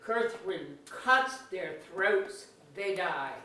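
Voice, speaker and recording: Female stage-directions reader, mid-pitched scripted narration, distant mic in a heavily echoing hall. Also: expressive, drawn-out words, sharp tone. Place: museum auditorium, staged reading. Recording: very echoey, noisy distant auditorium.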